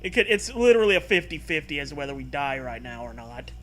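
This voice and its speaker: A man's voice: fairly high pitch, sing-song, fast, a bit bright, faint music behind. A man co-hosting and reading a comic gamebook aloud, mixing narration with casual, irreverent banter. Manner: playful, irreverent.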